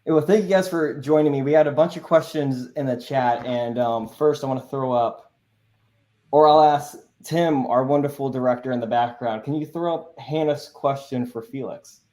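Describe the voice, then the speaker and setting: A man's voice: mid-range voice, moderate pace, warm tone. A man hosting a live online panel, talking in an upbeat, laid-back way with frequent "you know" fillers. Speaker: host, moderator. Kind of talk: casual livestream moderating.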